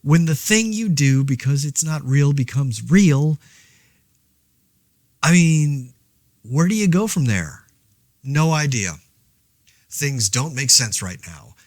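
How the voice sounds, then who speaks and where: An actor playing a laid-back man podcasting alone through a zombie outbreak, rambling in a wry, deadpan, hesitant way. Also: fairly high pitch, takes it slowly, long pauses, no echo, studio quality. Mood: incredulous. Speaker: podcast host. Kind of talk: casual monologue, fictional audio diary.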